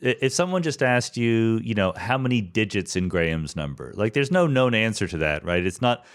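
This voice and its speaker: Lowish voiced guy hosting a podcast, casual interview chat. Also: expressive, moderate pace, clean tone. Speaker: podcast host interviewing a guest.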